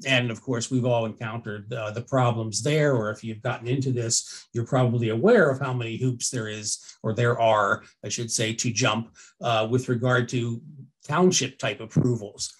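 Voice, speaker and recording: An older American man speaks at a middling pitch as he presents a webinar. He explains things conversationally through a headset on a video call, often rewording and correcting himself.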